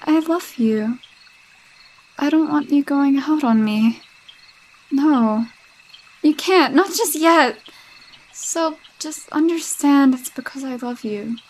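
A woman's voice: high voice, slow pace, pauses often, low-quality recording. A young woman voicing a possessive, jealous girlfriend with a sinister streak, sweet-talking and coaxing in a roleplay audio drama. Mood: obsessively worried. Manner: sweet-talking, coaxing, with unsettling laughs and hushed asides.

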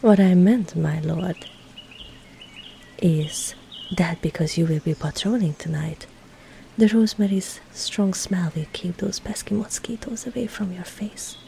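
A woman playing a teasing young medieval maid in an intimate roleplay drama, coy and playful, often dropping into breathy, hushed tones. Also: lowish voice, a little hiss or hum. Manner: coy, playful, soft breathy asides, slow deliberate phrasing. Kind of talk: intimate roleplay audio drama, partly whispered.

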